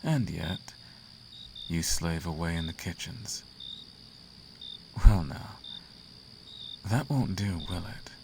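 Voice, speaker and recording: Guy doing an imperious flirty demon prince, slow gravelly drawl, crickets chirping behind him. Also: deep voice, expressive, background noise, exaggerated voice. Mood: seductive, indulgent. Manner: slow, courtly, teasing. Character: seductive, imperious male demon prince of the Abyss. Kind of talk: flirtatious roleplay ASMR acting.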